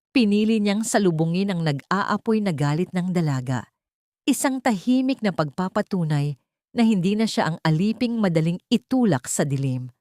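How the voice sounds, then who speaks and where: Low-pitched woman narrating a dramatic story. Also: a little mellow, no echo, studio quality. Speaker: audiobook narrator.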